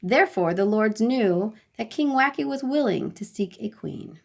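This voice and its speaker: Female storyteller, slightly high register, expressive and deliberate, for children's bedtime tales. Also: creaky.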